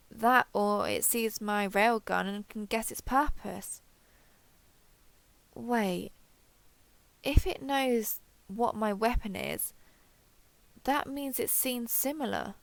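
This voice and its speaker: A woman voicing an excitable, wary alien explorer, thinking aloud in a wry, hesitant internal monologue for an audio roleplay. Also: on the high side, gravelly, dry recording. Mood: uneasy, curious. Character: excitable, wary female alien explorer with blue-grey skin. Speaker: voice actor.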